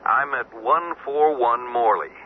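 An actor playing a polite, worried friend making sure all is well in an old-time radio drama. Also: male voice, mid-range voice, measured pace, natural voice. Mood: concerned. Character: concerned, polite friend on the telephone. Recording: tinny, narrow-band old radio recording, hiss.

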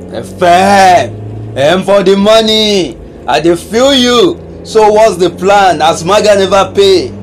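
A man's voice: high voice, animated, slow pace, a little hiss or hum. A man with a Nigerian accent playing a loyal, money-hungry young gang sidekick in a radio drama, drawling Pidgin slang. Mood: eager, scheming.

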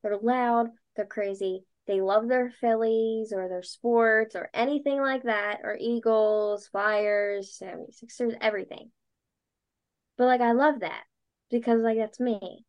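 Loud young woman with Delco accent. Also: fairly high pitch, steady tone, unhurried. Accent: Delco (Philadelphia area). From Delaware County, Pennsylvania. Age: young adult. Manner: loud, talkative, lots of "like". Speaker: guest, dancer and podcaster. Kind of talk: casual interview chat.

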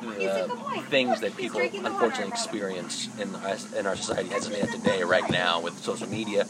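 A man talking as a guest in a casual podcast chat, slow and halting as he works out his thoughts. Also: low voice, steady tone. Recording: loud background noise.

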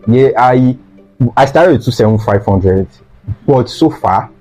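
A man's voice: mid-range voice, expressive, a little slow, warm tone, soft background music. A young man with a Nigerian accent, a student guest talking earnestly and repeating key phrases for emphasis. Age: young adult. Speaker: guest, student sweet seller. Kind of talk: casual interview talk. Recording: slightly dull lo-fi sound.

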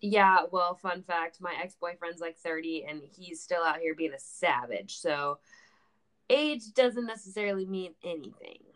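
Candid young woman with a lowish voice. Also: expressive, a little slow, a bit bright. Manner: blunt, self-deprecating, swears freely. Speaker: podcast co-host. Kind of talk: casual, candid podcast chat.

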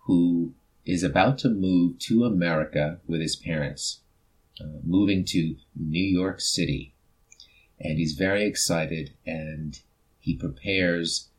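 A man presenting himself and his work as a featured storyteller, in measured, clearly phrased speech. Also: deep voice, poor audio. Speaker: featured storyteller, author and actor. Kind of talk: self-introduction and book presentation.

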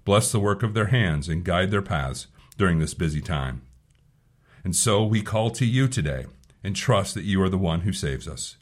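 A man leading a devotional sermon and prayer, his voice hoarse from allergies, talking earnestly and often repeating words as he gathers his thoughts. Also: low-pitched, lively intonation, normal speed. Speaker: pastor.

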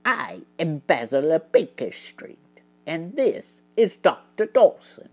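A woman voicing a stern, commanding male mouse detective in a storybook, speaking with hushed, measured authority. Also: low-pitched, big pitch swings, highs cut off, exaggerated voice.

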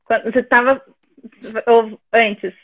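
A woman's voice: a little high, fairly even intonation, slow pace. A woman hosting a casual live interview over a video call, effusive and encouraging, in muffled, thin audio. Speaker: interview host.

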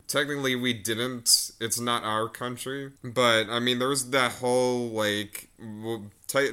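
Bright-toned, smooth man rambling. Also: on the low side. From United States. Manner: rambling, with many restarts and trailing asides. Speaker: interviewer, host. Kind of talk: casual conversational interview. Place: room at home with a cat.

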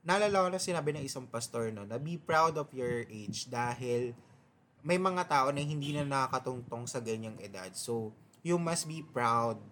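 A man in his twenties with a Filipino accent, hosting a casual reflective podcast and tagging his phrases with quick questions. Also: medium pitch, lively intonation, fairly bright tone. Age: 20s. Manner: chatty, mixes English and Tagalog, ends phrases with "diba?". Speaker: podcast host.

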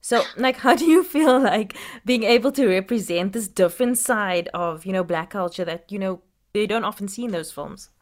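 A woman's voice: mid-range voice, lively intonation, moderate pace. A woman from Africa interviewing an actor, chatty and full of filler words. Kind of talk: interview questions.

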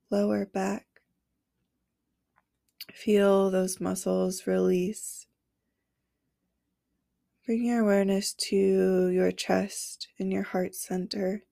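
A woman hosting a podcast, leading a guided meditation with slow, soothing instructions and long pauses, her nose stuffy from a cold. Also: mid-range voice, vocal fry, dark tone, no room sound, studio quality.

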